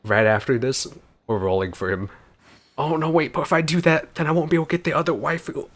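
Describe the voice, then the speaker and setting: A man's voice: fairly high pitch, fast talker. A man giving casual, exclamatory gameplay commentary, informally thinking aloud as he plays. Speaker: gaming video creator.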